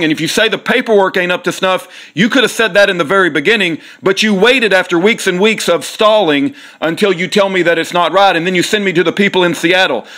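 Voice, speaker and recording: A man's voice: high voice, sharp tone. A man leaving a stern, accusatory, emphatic complaint as a voicemail. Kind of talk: formal complaint left by voicemail.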